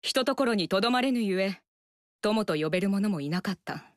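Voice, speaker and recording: A woman's voice: medium pitch, expressive, brisk, dry recording, clean studio sound, lightly acted. Lu Lingqi, a woman voicing a stern young warrior. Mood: stiff sincere. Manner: formal, stiff and earnest. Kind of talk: video game dialogue. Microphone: studio mic, dry.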